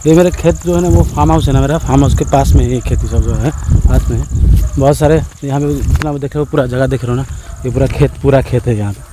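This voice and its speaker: A man's voice: medium pitch, quick pace. Village vlogger man with an Odia accent. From Odisha, India. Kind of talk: casual vlog narration. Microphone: phone at arm's length. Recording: noisy handheld phone recording.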